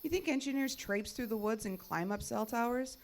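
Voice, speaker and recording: An actress playing a perceptive, plain-spoken tower technician with a sardonic manner in an audio drama. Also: female voice, a little high, a little fast, natural voice. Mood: probing. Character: wry, perceptive, down-to-earth woman cell tower technician.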